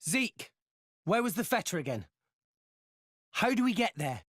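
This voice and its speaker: A man's voice: high-pitched, big pitch swings, a little slow, fairly bright tone, no echo, studio quality, full character voice. An actor playing an earnest, plucky teenage boy hero in a video game, loyal and impulsive. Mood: eager.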